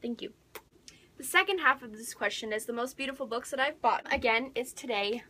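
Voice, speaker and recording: Young woman, high-pitched, bright tone, casual book vlog chat, gushing, dramatic emphasis. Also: fairly quick. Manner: excitable, gushing, dramatic emphasis, drops into whispery asides. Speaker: book vlogger.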